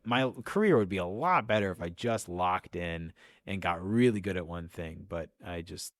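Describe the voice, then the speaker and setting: American guy w a low voice talking thru his creative stuff in an interview, dry recording. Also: expressive, normal speed, no room sound. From central Illinois, USA. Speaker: audio drama writer and director. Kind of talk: reflective interview talk.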